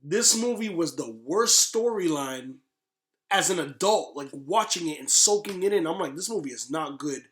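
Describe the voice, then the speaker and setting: A man's voice: fairly high pitch, expressive, normal speed. A man in his thirties chatting casually and opinionatedly as a podcast co-host, emphatic and repeating phrases for stress. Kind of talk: casual opinionated movie chat. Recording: roomy, echoey sound.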